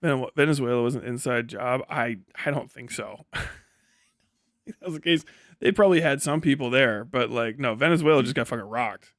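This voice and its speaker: Casting: male streamer, mid-pitched, blunt and sarcastic, swears freely, casual commentary, close dry sound. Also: normal speed, fairly bright tone, dry recording. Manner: profane, blunt; sarcastic, acts out mock back-and-forth dialogues. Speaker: livestream host and commentator. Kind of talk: casual political commentary, riffing to live chat.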